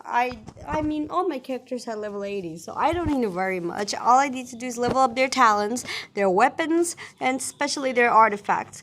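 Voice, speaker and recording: A young woman giving casual, chatty, rambling gameplay commentary as a video creator. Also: a little high.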